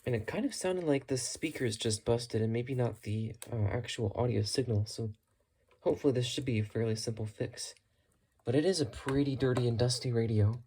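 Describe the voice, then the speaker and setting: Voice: mid-range voice, brisk, mellow, a little hiss or hum. A hobbyist vlogger giving a casual, unscripted show-and-tell in a matter-of-fact way, musing tentatively while talking. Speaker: hobbyist vlogger showing thrift-store radio finds.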